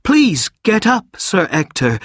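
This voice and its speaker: A man voicing an earnest, anxious young boy in a storybook reading, speaking high and pleading. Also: wildly swooping pitch, takes it slowly, dry recording, clean studio sound, full character voice. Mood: distressed.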